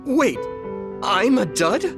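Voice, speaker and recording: Young male game protagonist, slightly high voice, earnest, courteous, soft music behind. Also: sing-song, slow pace, full character voice. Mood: shocked, confused. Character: earnest, polite young male hero with psychic powers. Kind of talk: English video game cutscene dub.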